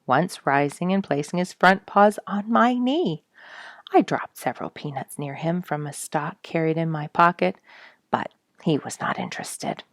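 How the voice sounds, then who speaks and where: A woman reading a nature story aloud as a storyteller, with expressive narration and deliberate, dramatic emphasis. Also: sits low, no room sound, studio quality. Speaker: storyteller reading an audiobook chapter.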